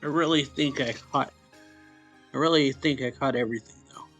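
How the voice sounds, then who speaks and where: A man reading a visual novel aloud as a let's play host, in a halting way, stumbling and going back over lines. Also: mid-range voice, fairly bright tone, faint music behind.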